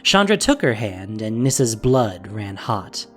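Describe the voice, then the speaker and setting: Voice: medium pitch, big pitch swings, a little slow, a bit bright, faint music behind. A narrator reading a scripted fantasy story in a dramatic audio drama style.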